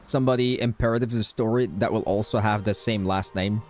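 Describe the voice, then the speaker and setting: A man's voice: fairly low pitch, fairly even intonation, fast, darkish tone, faint music behind. A man giving casual, slangy, joking commentary as he streams a game playthrough.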